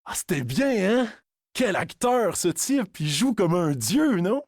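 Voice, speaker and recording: A man's voice: high voice, very animated, takes it slowly, a little mellow, no echo, clean studio sound, full character voice. A man playing an enthusiastic moviegoer in a casual scripted chat with a friend.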